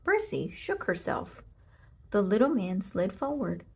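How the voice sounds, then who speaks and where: A woman narrating a children's storybook aloud, with expressive, deliberate delivery and emphasis on short sentences. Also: medium pitch, vocal fry, husky. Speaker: storybook reader.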